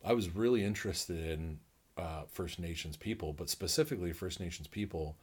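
A man's voice: sits low, a little fast, darkish tone, husky. A North American man co-hosting a podcast, talking casually and enthusiastically with lots of fillers. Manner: rambling, enthusiastic, heavy use of fillers. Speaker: podcast co-host. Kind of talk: casual conversation.

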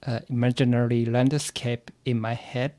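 A man from Japan with a Japanese accent, answering interview questions as an English learner, haltingly searching for words. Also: medium pitch, little pitch movement, warm tone, dry recording. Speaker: podcast guest, English learner.

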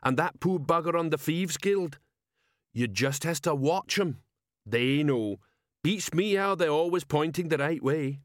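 A man voicing a big, slow-witted male troll sergeant with gruff, ungrammatical speech. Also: fairly high pitch, expressive, dry recording, studio quality, voice pushed up. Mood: knowing. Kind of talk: audiobook character voice.

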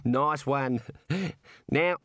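A man's voice: mid-range voice, extremely slow, a bit bright, clear, resonant tone, no echo, pitched above the performer's own voice. Man acting male prison warder, cheerful, obliging, folksy slang, comic storybook, dry sound. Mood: chatty, encouraging. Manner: folksy colloquial Australian-style slang. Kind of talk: comic audiobook character voice.

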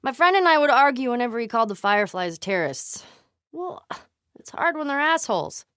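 A feisty, sarcastic girl for a naturalistic video game. Her voice sits on the high side, with very little room sound. She talks bluntly and swears freely. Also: sing-song, a little fast, a bit bright, no room sound, clean studio sound.

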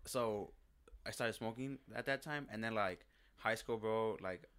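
A man's voice: lowish voice, unhurried, fairly bright tone, clear, resonant tone. A man in his early twenties, a podcast guest, chatting in a laid-back way and saying bro a lot. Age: 20s. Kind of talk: casual chat.

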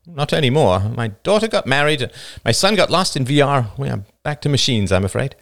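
A man doing a brisk, cheerful old woman. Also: medium pitch, sing-song, creaky, a bit bright, voice pushed up. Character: warm elderly woman restaurant owner, retired engineer.

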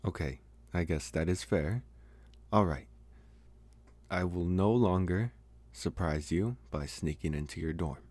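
Man acting as young college vampire, very low pitch, unhurried delivery, intimate ASMR roleplay, awkward, halting, possessive, earnest. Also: a little mellow, no room sound. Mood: hesitant, bargaining. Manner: halting, hesitant, trying to sound intimidating.

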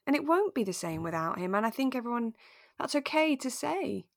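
A woman in relaxed podcast conversation, musing and thinking aloud as a co-host discussing a drama. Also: a little high, a little fast, dry recording, studio quality.

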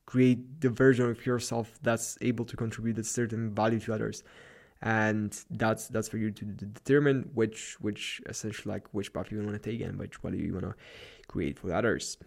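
Gravelly Slavic-accented man hosting a podcast. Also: mid-range voice, a little mellow. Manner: loose, rambling, upbeat pep talk. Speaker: podcast host. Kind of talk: solo motivational podcast monologue. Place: apartment at night.

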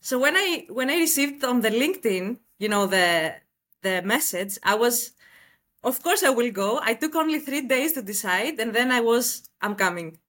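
High-voiced young Greek woman. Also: lively intonation, normal speed, a bit bright. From Greece. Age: late 20s. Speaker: interview guest, shipbroker. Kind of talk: conversational interview answers.

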